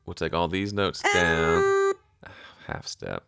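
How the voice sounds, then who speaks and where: A man giving a casual, hands-on software tutorial, thinking aloud and improvising as he goes. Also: sits low, big pitch swings, slow pace, creaky, loud music behind the voice. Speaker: music production tutorial host.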